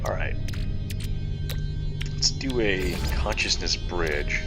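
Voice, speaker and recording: A man with a lowish voice talks his way through a game session in a loose, rambling way, stopping and restarting his sentences. Loud game music plays the whole time behind his voice. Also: takes it slowly. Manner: rambling, relaxed, trailing off mid-thought. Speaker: game streamer. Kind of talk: casual gameplay commentary.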